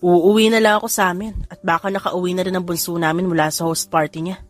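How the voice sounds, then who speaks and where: A woman's voice: on the low side, little pitch movement, quick pace, lightly acted. A woman voicing an irritable young male hacker in an audio drama, blunt and defensive, over music. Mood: resigned. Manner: indignant, blunt, mixing Tagalog and English.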